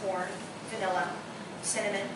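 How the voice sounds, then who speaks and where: The sound is heavily echoing and noisy, as in a live radio play recorded from a distance. An actress plays a witty, opinionated woman who savors her bourbon, speaking in a middle pitch. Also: flat delivery, moderate pace, natural voice. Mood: wry, reminiscing. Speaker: stage actor. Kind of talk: live radio-play dialogue before a microphone. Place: live theatre performance space.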